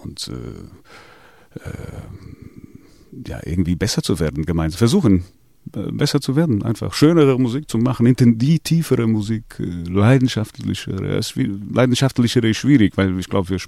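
A man's voice: on the low side, animated, normal speed, creaky, warm tone, dry recording, clean studio sound. A man, a singer in a band, answering radio interview questions hesitantly with many restarts. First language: Portuguese. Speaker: singer in a latin music band.

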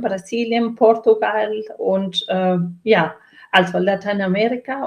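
Earnest middle-aged woman, Latin American accent. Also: mid-range voice. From Chile and Brazil. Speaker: interview guest, trainer and coach. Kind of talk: reflective interview answer about own life and work.

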